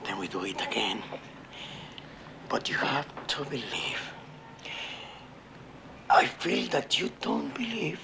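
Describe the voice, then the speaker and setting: A man's voice: medium pitch, wildly swooping pitch, slow, gravelly, long pauses, exaggerated voice. An actor playing an intense spiritist healer, a solemn, commanding man. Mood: solemn, insistent. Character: intense male spiritist healer urging belief. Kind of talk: film drama acting. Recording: echoey room with background noise.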